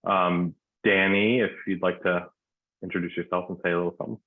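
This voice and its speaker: Deep-voiced man chairing a meeting. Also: brisk, dry recording. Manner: relaxed, informal, uses many fillers. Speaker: commission chair. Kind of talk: meeting roll call and introductions. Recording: dry tinny phone-like line.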